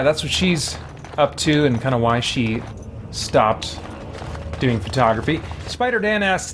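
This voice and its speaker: A man hosting a game stream, chatting casually and answering viewers in a halting, think-aloud way. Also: a little high. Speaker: video game livestream host. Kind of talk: casual gameplay chat, answering viewer questions. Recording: clearly noisy background.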